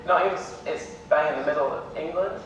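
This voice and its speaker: A young Scottish man giving an academic lecture in a relaxed, hesitant way, full of ums. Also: fairly quick. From Scotland. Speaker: visiting lecturer. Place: lecture hall. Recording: echoey hall from far off, with rumble.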